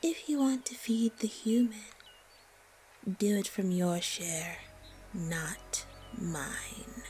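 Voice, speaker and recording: A woman playing a haughty, suspicious, disdainful spider monster in a fantasy audio roleplay, with a breathy, often whispery voice. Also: medium pitch, expressive, mellow, soft background music. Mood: grudging, disdainful. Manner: slow, disdainful, haggling.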